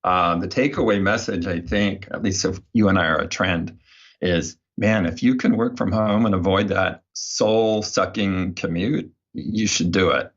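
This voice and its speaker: A man's voice: sits low, measured pace, highs cut off. A man speaking as an interview guest, telling his story in a casual, anecdotal way. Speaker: guest, behavior design researcher.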